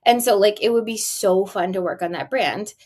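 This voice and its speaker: A woman in her forties with a middle-pitched voice chats as a podcast co-host. She tells business news like a casual story, tossing in rhetorical questions and emphatic asides. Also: normal speed, darkish tone. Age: 40s.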